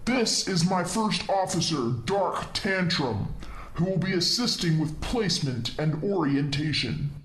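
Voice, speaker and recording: A man's voice: a little high, animated, unhurried, fairly bright tone, soft background music. A man playing a pompous, commanding villain overlord who bosses his evil guild in an audio comedy drama. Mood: grandiose. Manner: pompous, commanding, grandiose speechmaking. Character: pompous male villain overlord, bossy and exasperated guild master. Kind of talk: role-played comedy drama. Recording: echoey room, clearly noisy ambience behind.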